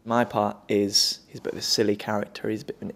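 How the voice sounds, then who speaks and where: A man's voice: lowish voice, level tone, quick pace, gravelly, a bit bright. A man who acts in pantomime, talking casually in an interview about his work. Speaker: pantomime actor.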